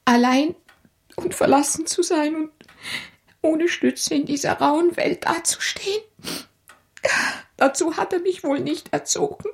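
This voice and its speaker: An actress playing a frail, timid young widow in a German radio play, with a trembling, breathy delivery. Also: female voice, sits high, unhurried, pauses often. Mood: tearful, indignant. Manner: trembling, breathy, often whispering.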